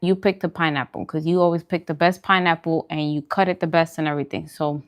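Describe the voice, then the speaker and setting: Woman, slightly low voice, casual livestream chat, informal food-channel host, chatty, unhurried pauses for eating. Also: fairly even intonation.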